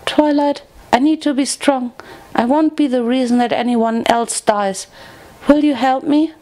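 Woman voicing a timid, high-pitched, guilt-ridden young female pony. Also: a little slow, mellow. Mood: earnest, determined. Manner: stammering, pleading, earnest. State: crying.

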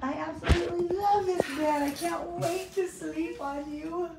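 A woman talking slowly and gushingly in a hotel room. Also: very high-pitched, fairly even intonation, vocal fry. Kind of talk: casual chat. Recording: far-off echoey noisy camera audio.

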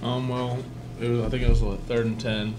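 A young man, a college football player recounting a play at a postgame press table, relaxed and full of "um.". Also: medium pitch, fairly quick, noisy background. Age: young adult. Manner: easygoing, filler-heavy. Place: press room before a sponsor backdrop. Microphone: table mic in front.

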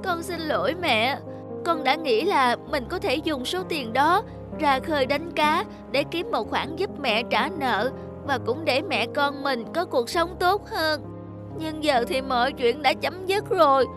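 A woman voicing an earnest, sensitive young boy in an animated story, polite and pleading in manner. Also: high-pitched, unhurried. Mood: upset. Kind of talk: Vietnamese animated moral story dubbing. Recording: music behind the voice.

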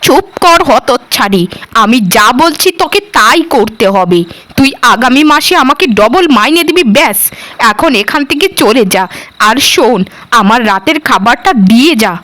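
A woman's voice: high voice, animated, moderate pace, a bit bright, no echo. A woman voicing a cruel, greedy middle-aged aunt in a cartoon, bossy, harsh and full of contempt. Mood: scolding, contemptuous. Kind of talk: cartoon voice acting.

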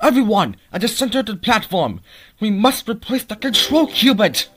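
A man voicing a plucky small young cartoon monster hero, earnest and excitable. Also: high voice, normal speed, music in the background. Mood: determined. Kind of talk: cartoon fan dub acting.

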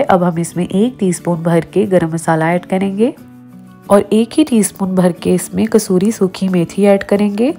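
Lower-voiced woman with Pakistani Urdu accent. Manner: steady, instructive, step-by-step. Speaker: cooking channel host. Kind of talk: voice-over recipe instructions. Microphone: voice-over, off camera.

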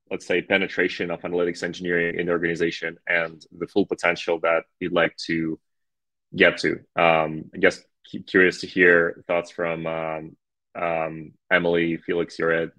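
A man moderating an online panel discussion over a video call, speaking haltingly with frequent filler sounds and restarts. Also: low-pitched, sharp tone, dry recording, rough recording.

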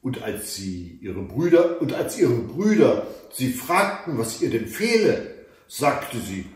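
A man in his fifties reading a fairy tale aloud in a measured, dramatic way with deliberate pauses. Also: mid-range voice, very animated. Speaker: storyteller reading fairy tales. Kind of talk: expressive read-aloud fairy tale narration. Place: indoors, book-lined room at home. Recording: echoey room, mic at a distance.

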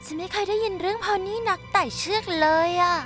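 A woman's voice: extremely high pitch, quick pace. A woman voicing a cute little filly sidekick in a dubbed cartoon, childlike, curious and keen. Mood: doubtful. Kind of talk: animated cartoon dubbing. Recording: music behind the voice. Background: background music.

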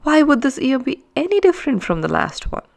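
A woman's voice: high voice, sing-song, a little fast, gravelly, voice pushed up, full character voice. A woman voicing an earnest, easily worried young schoolboy in a children's storybook, with exclamatory phrasing. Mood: bored. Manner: exclamatory, pleading.

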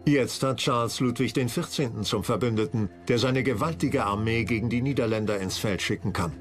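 A man's voice: medium pitch, fairly quick, soft background music. A man reading scripted narration for a history documentary.

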